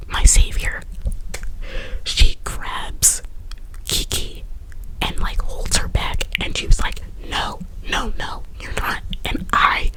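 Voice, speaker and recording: A woman's voice: extremely slow, pauses often, rough recording. A woman whispering a casual story-time in an ASMR style, chatty and full of filler words and restarts. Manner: whispering throughout.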